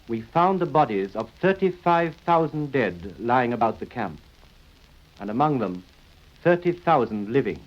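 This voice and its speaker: An actor playing a grave man giving a solemn, emphatic, measured wartime report. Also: medium pitch, big pitch swings, muffled recording.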